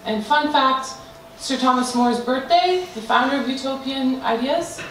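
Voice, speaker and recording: A woman's voice: sits high, measured pace, a bit bright. A woman giving a student group design presentation, hesitant, with frequent fillers and restarts. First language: not Danish. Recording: echoey room, mic far off, faint noise.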